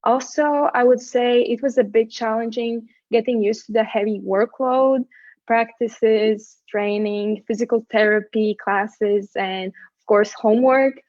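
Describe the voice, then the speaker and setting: A young woman from Latvia, a student athlete whose first language is Latvian, answering interview questions in a measured, slightly rehearsed way with small restarts. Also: fairly high pitch, steady tone, darkish tone, dry recording, muffled recording. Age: young adult.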